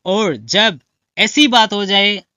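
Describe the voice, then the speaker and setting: A man's voice: sits high, lively intonation, takes it slowly, sharp tone, no echo, clean studio sound. A man from Pakistan, teaching English in a lecture style, mixing languages and often checking in with his listeners. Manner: mixes Hindi-Urdu and English, frequent fillers and "theek hai?" check-ins.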